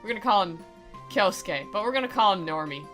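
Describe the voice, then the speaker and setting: A bright, forceful woman's voice reads a visual novel aloud and comments on it. She is sarcastic, playful and mocking, with faint music behind her. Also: medium pitch, lively intonation, fairly quick. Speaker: game commentator and reader.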